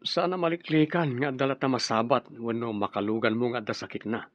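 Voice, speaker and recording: A man's voice: medium pitch, fairly even intonation, fairly quick, no echo, highs cut off, close to an everyday voice. An Ilocano-accented man playing an easygoing family man in a radio drama. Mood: breezy.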